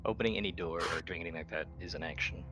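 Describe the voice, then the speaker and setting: A man with a low-pitched voice that has a sharp, bright edge. He talks casually as a player in a tabletop roleplaying game, with some music in the background. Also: very fast, muffled recording.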